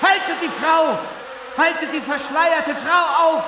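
Crowd role in radio drama: panicked man, extremely high voice, heavy hall echo, crowd around him. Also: a little slow, raised voice, background noise. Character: panicking audience member in a lecture hall. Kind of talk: radio play acting.

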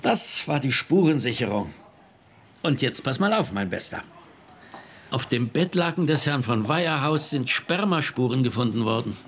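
A man's voice: mid-range voice, animated, moderate pace, a little hiss or hum, close to an everyday voice. An actor playing a stern, sarcastic male police chief in a radio crime drama.

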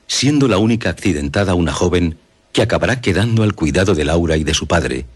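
Male narrator, Castilian accent, deep with a raspy edge, formal and measured scripted storytelling. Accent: Castilian Spanish. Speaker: radio drama narrator.

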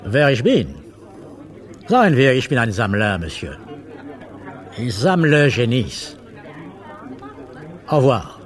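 An old French marquis, imperious and enigmatic, in a radio play. His mid-pitched voice rises and falls widely as he gives cryptic commands, with faint bustle behind him. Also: sing-song, slow, long pauses, some chatter behind. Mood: coolly mysterious. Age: older. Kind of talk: radio drama acting.

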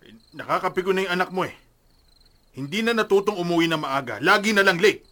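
A man's voice: high voice, expressive, fast, fairly bright tone. An actor playing an angry, exasperated middle-aged Filipino father in a radio drama, stern and confrontational.

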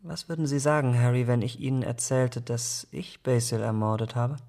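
An actor in a German radio drama playing a troubled, youthful gentleman, speaking with grave, earnest deliberation. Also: medium pitch, fairly even intonation, moderate pace, natural voice. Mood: testing, grave.

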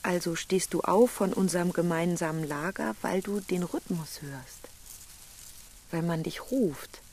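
A woman playing a gentle, thoughtful lover in a German radio drama, speaking intimately and reflectively. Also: on the low side, unhurried. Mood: tender, reflective.

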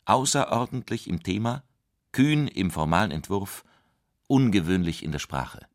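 A man reading literary quotations in a scripted, measured style. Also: low-pitched, animated, dry recording, studio quality. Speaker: reader of quotations.

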